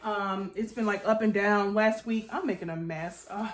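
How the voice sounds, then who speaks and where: A woman's voice: mid-range voice, normal speed. An American woman, chatty and informal with a congested nose, hosting a casual baking tutorial for her viewers. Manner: chatty, informal, addresses viewers as "you guys". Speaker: home baker and cooking channel host. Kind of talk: casual cooking tutorial chat. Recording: echoey room with faint background noise.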